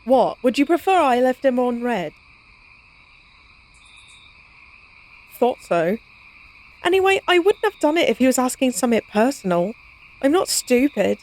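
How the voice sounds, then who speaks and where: A woman's voice: high voice, very animated, moderate pace, a little mellow. A woman playing a playful, cocky, science-obsessed vampire in an intimate audio roleplay, speaking in a casual, teasing, wry way. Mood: defensive, casual.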